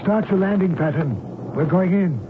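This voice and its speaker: A tinny, noisy old radio drama recording carries a hijacker reverend, acted by a man. His voice sits fairly high for a man. He is formal and smug, issuing commands with cool menace. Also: moderate pace, close to an everyday voice. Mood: brisk, commanding. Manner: formal, commanding, coolly threatening.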